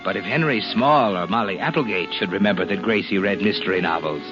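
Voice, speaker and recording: An actor playing a sinister, mocking, all-knowing narrator, insinuating and speaking straight to the culprit in 1940s radio suspense style. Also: male voice, deep voice, fairly quick, natural voice. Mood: sardonic. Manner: insinuating, addresses the culprit directly. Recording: muffled old radio recording. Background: prominent dramatic music.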